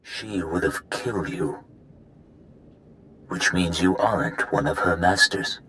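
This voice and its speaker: A man's voice: low voice, slow pace, pushed and bright, a little hiss or hum, heavily acted, low-quality recording. An actor playing an ancient, weary male prisoner in a video game, solemn and secretive, telling his story with grave deliberation. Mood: wary. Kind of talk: dramatic video game acting.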